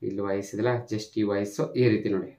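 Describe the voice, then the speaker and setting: A man's voice: fairly low pitch, little pitch movement, quick pace, dark tone. A man hosting a casual tech tutorial, giving step-by-step instructions, often repeated, with English tech terms mixed in. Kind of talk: casual screen-recorded app tutorial.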